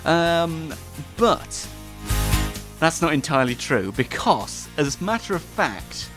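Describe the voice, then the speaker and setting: A man presents a casual live show with highly animated pitch that swings up and down. He rambles in a chatty, self-deprecating way, with music playing behind him. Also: takes it slowly. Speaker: host of an online show. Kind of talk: casual live monologue to listeners.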